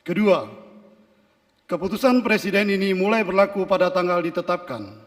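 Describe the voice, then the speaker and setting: In a long hall reverb, a man with a high-pitched voice reads an official decree. His delivery is solemn, deliberate and strictly formal, in the role of a military secretary at a ceremony. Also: animated.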